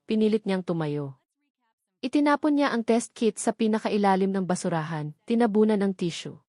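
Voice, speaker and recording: A woman with a Filipino accent narrating a scripted dramatic story as an audiobook, in a deliberate, emphatic way with short dramatic phrases. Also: mid-range voice, a little mellow, no echo, studio quality.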